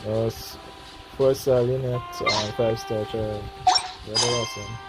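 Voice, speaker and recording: Gaming livestreamer, medium-pitched, loose hesitant chat, prominent music underneath. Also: steady tone, unhurried. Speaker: livestreamer playing a game. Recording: loud game music behind. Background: game music.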